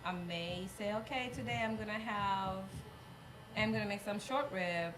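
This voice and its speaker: A woman's voice: medium pitch, moderate pace, background noise. A woman in her thirties, a mixologist guest, chatting casually and laughing as she tells stories. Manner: laughing, animated storyteller. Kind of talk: casual chat.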